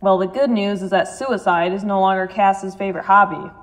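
A woman's voice: mid-range voice, fairly even intonation, a little fast, lightly acted. A woman playing a motherly ghost in an audio drama, matter-of-fact and wry. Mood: dry.

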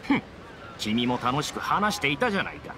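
A man's voice: mid-range voice, fairly quick, noisy background, lightly acted. An actor playing a pushy, smug middle-aged male reporter in a video game, with an oily, scornful way of talking. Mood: sneering, smug. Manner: oily flattery turning to sneering scorn. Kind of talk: dramatic Japanese video game voice acting.

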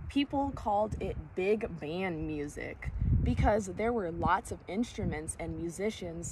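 Young female storytime reader, animated intonation at a slow pace, recorded outside for a children's book read-aloud. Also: fairly low pitch. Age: young adult. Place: outdoors in woods by a creek. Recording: clear outdoor background noise, clicks.

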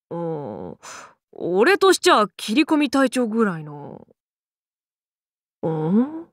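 A woman voicing a brash, rough but caring tomboy warrior in an anime game, with blunt, cocky, masculine speech. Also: fairly high pitch, fairly bright tone, dry recording, clean studio sound, exaggerated voice. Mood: cocky, defensive. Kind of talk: Japanese anime game character lines.

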